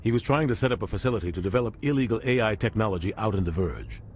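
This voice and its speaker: A low-voiced, weary military captain. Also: male voice, expressive, very fast, a little mellow, a little hiss or hum. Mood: grave, recounting. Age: middle-aged. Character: weary, dutiful middle-aged male military captain and mentor. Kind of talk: video game dialogue acting.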